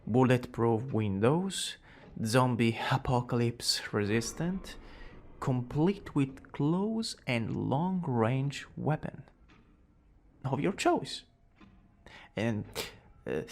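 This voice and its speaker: Guy doing a smug teasing young villain for asmr romance, slow n drawly, bit of gravel in the voice. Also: mid-range voice, animated, a little mellow. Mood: boastful, playful.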